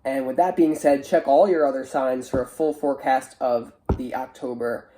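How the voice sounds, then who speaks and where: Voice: medium pitch, steady tone, moderate pace, noisy background. A tarot reader with a stuffy, nasal sound, talking in a casual, chatty and hesitant way through a spiritual reading. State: stuffy, congested nose. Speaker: tarot reader, online show host.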